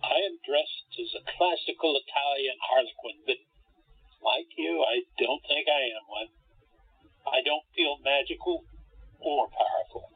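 A performer playing a sullen, lonely harlequin in a radio play, husky and curt, with a gloomy manner. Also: mid-range voice, measured pace. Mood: dejected. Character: sullen, world-weary, lonely harlequin named Jojo. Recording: tinny phone-like lo-fi audio.

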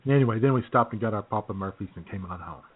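A man's voice: lowish voice, fast talker, breathy edge, dry recording. An American man co-hosting a talk show in casual, jokey banter, often chuckling as he goes. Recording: tinny, phone-like band-limited sound.

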